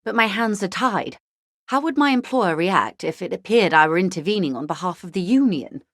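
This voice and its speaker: A woman playing a composed, world-weary corporate negotiator in a video game, speaking in a measured, articulate and formal way. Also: mid-range voice, lively intonation, fairly bright tone, no echo, clean studio sound. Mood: grave, diplomatic, guarded.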